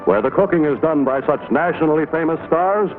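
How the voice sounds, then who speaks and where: A man's voice: fairly high pitch, animated, brisk. An American man reading formal, declamatory scripted narration in a 1940s newsreel style, on an old film soundtrack. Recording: tinny 1940s film soundtrack, loud music. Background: music.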